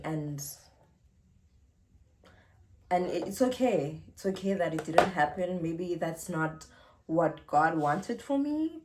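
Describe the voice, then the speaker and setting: A young woman with a South African accent talks slowly and unhurriedly in a casual Q&A vlog. Her voice sits a little low, and she breaks into giggles between relaxed, rambling answers. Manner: chatty and giggly, drawn-out pauses. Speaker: YouTuber.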